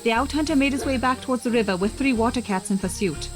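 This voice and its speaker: High-voiced, composed female hunter played by an actress. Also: fast talker, soft background music, close to an everyday voice. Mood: composed, reporting. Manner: precise, formal, level-headed. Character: composed, analytical female member of hunting party. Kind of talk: audio drama acting.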